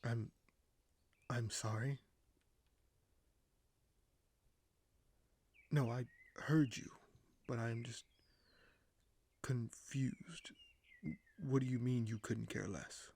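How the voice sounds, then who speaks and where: An actor playing a scheming, courteous young king in an audio roleplay, speaking formally and hesitantly with deliberate pauses. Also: mid-range voice, steady tone, vocal fry, a little mellow, slightly rough, heavily acted. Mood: confused. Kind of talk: audio roleplay acting, addressed to the listener.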